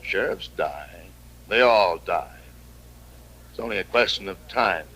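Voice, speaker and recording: An American actor playing a cynical, world-weary gunfighter sheriff in an old-time radio western, speaking tersely and fatalistically. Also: male voice, deep voice, expressive, unhurried, pushed and bright, full character voice. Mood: grim. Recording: vintage western radio drama recording.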